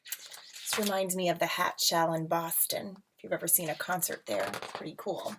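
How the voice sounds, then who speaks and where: A woman reading a picture book aloud to children as a teacher, with dramatic emphasis, drawn-out words and casual asides. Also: lowish voice, expressive, background noise. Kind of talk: expressive storybook read-aloud with casual asides. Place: at home.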